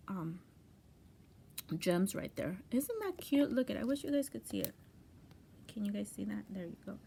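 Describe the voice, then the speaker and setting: A woman's voice: mid-range voice, gravelly, a little mellow, slight background noise. Chatty crafter woman with a tiring voice. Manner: gushing, chatty, restarts often. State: voice going hoarse, coughing. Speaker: crafting channel host. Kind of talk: casual craft mail unboxing.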